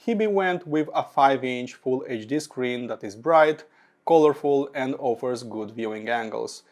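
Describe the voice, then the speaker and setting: A man narrating a semi-scripted product review in measured phrases that start high and trail off low. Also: expressive. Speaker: audio gear reviewer.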